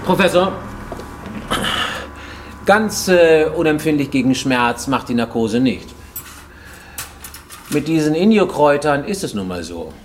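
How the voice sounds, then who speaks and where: An actor playing a fugitive healer and visionary dreamer in a radio play monologue, speaking intimately and deliberately. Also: male voice, on the high side, sing-song, a little hiss or hum. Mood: soothing, eerie. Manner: intimate, deliberate, addressing a listener, dropping to whispers. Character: fugitive Japanese man in Mexico, makeshift healer and tooth-puller, visionary dreamer. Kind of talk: German radio drama monologue.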